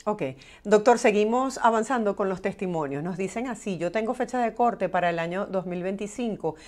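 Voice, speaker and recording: A woman's voice: mid-range voice, a little fast, a little mellow. A woman hosting a broadcast interview, reading questions aloud and moving the conversation along. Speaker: host reading viewer questions.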